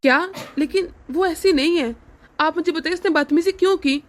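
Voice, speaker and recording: A woman's voice: extremely high pitch, moderate pace. A woman voicing a principled young son in a cartoon family drama, indignant and pleading. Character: upright young grown son, defending his mother.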